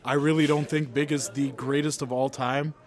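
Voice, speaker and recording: A man's voice: medium pitch, steady tone, normal speed. A man who is a guest on a casual podcast and talks at length while hedging his claims, recorded in a hookah bar restaurant. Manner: talkative, hedges with if I'm not mistaken. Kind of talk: casual chat. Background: chatter.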